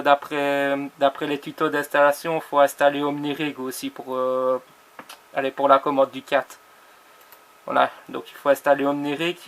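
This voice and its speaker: Male radio ham, bright forceful tone, fairly even pitch, informal halting delivery. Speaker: amateur radio operator. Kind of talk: casual radio chat with callsigns and spelling.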